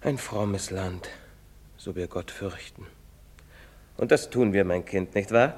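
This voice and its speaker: An actor playing a pious, fatherly village priest who admonishes gently in a preacherly way. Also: deep voice, slow. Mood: admonishing. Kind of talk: stage play recording. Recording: long hall reverb.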